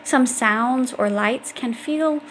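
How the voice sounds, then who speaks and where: A woman's voice: on the high side, slow, fairly bright tone, a little hiss or hum. A woman reading an educational children's book aloud, explaining things directly to young listeners. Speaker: audiobook reader.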